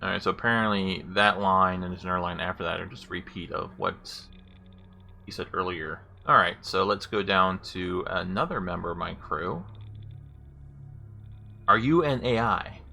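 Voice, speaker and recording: A man doing a casual gameplay demo, giving deliberate spoken commands and offhand remarks. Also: deep voice, gravelly, pushed and bright. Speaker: gamer demonstrating voice commands.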